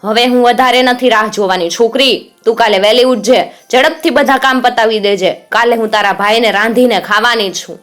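A woman voicing a cruel old witch who barks orders in a high, shrill voice. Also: moderate pace, hardly any pauses, fairly bright tone, soft background music, close to an everyday voice. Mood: greedy. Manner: shrill scolding, barking orders.

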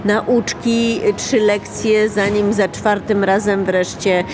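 A woman's voice: medium pitch, steady tone, takes it slowly, music in the background, rough recording. A woman, a children's author, giving thoughtful, personal answers in an interview. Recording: clear urban ambient noise.

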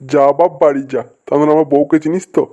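A man's voice: on the high side, fairly even intonation, fast talker, mellow, lo-fi sound, close to an everyday voice. A man voicing a teasing, easily exasperated village husband in a comic cartoon, with colloquial, exclamatory speech. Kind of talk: comic Bengali cartoon dubbing.

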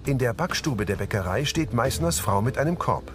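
Male narrator with lively intonation. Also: medium pitch, moderate pace. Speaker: audio description narrator. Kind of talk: scripted narration of screen action. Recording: music behind the voice.

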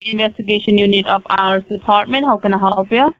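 A woman's voice: mid-range voice, a little fast. A woman working as a call center agent, curt and scripted on the phone. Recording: tinny phone line.

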